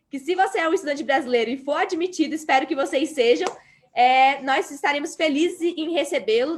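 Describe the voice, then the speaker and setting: High-voiced Brazilian woman panelist. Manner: earnest, explanatory, frequent hesitations. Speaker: graduate student panelist. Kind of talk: panel talk answering a moderator.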